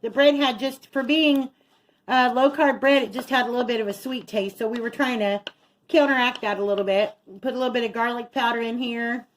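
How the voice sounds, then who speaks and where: A woman's voice: fairly high pitch, fairly even intonation, fairly quick, slight background noise, rough recording. A middle-aged woman chatting casually as she narrates each step of her home cooking as a vlog host. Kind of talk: casual chat while cooking. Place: home kitchen.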